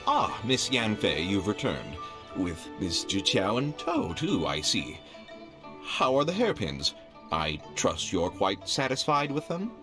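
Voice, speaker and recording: Wildly animated, fussy merchant. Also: male voice, on the low side, takes it slowly, exaggerated voice. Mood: courteous. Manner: theatrical, polite turning exasperated. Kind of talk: video game voice acting. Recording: game dialogue under loud background music. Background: game music.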